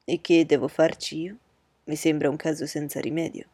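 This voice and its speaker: A woman voicing a calm, ironic older father, lowering her voice and speaking in a measured way. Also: husky, dry recording. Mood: wry.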